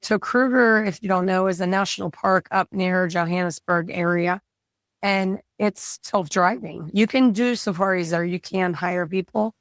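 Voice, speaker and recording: A woman from the United States tells travel stories with eager excitement while fighting a cold that is wearing down her voice. She is recorded dry and close. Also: fairly low pitch, moderate pace, no room sound. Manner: excited storytelling. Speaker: guest. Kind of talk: casual chat.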